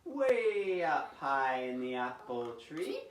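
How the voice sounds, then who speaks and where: A man hosting storytime for young children, with an expressive, sing-song delivery. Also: fairly high pitch, slow pace. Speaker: children's library storytime host. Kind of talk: reading picture books and leading finger rhymes. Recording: roomy echo with faint background noise.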